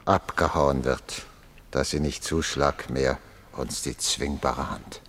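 An actor playing a solemn chorus elder in a tragic verse radio drama, declaiming with weight. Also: male voice, rumbling low, steady tone, takes it slowly, fairly bright tone, heavily acted. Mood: grave foreboding. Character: solemn chorus elder watching disaster unfold. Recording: long hall reverb.

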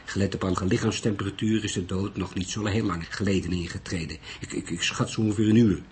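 A man reading an audiobook, voicing a laconic old male coroner with a crackly, deliberate delivery. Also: sits low, fairly even intonation, darkish tone, lightly acted. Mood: dry, laconic. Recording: noisy recording.

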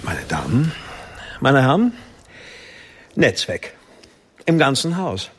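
An actor playing a formal, apologetic hotel manager in a radio play. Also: male voice, mid-range voice, huge pitch swings, slow, a little hiss or hum. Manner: formal and polite.

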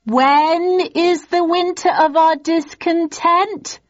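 A cheeky heckler in a storybook theatre crowd, acted by a woman narrator, bellows out calls in a raised, high voice. The delivery is loud, rowdy and stretched out, on a slightly dull recording. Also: steady tone. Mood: gleeful heckling. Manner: shouting, high-pitched, drawn-out calls.

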